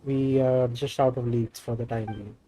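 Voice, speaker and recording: Mellow man reading game dialogue. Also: mid-range voice, fairly even intonation, moderate pace, low-quality recording. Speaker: game streamer. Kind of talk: reading game dialogue aloud while playing.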